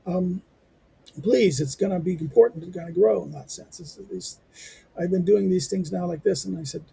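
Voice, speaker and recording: A hoarse man hosting a lecture channel, rambling through his outro with lots of fillers, restarts and asides. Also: moderate pace, mellow, low-quality recording. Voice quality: squeaky. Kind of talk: informal spoken outro to a reading lecture.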